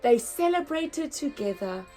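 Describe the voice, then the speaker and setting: Lady reading a kids book out loud, slow and super expressive, sing-song rhymes. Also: high voice, faint music behind. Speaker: children's storyteller. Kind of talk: expressive read-aloud storybook narration.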